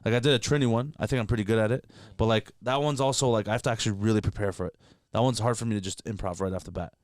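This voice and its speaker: A man's voice: fairly low pitch, fairly even intonation, no room sound, clean studio sound. A man from Canada, a guest comedian, chatting candidly on a podcast and swearing freely as he talks. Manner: rapid, candid, profane, heavy filler words. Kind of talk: casual podcast chat.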